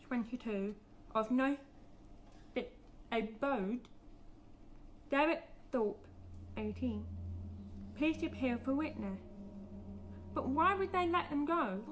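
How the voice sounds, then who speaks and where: Radio drama role: young deaf woman, high voice, soft consonants, earnest and pleading, faint ambience behind. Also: slight background noise. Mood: urgent, focused. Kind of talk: naturalistic radio drama acting.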